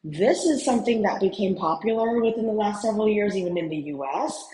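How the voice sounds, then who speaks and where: A woman's voice: medium pitch, lively intonation, fairly quick, warm tone, rough recording. Enthusiastic woman giving a tour. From Houston, USA. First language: Cantonese. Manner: enthusiastic, admiring, mildly rambling with fillers. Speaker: real estate broker and vlog host. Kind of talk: hotel room tour vlog. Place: hotel suite, indoors.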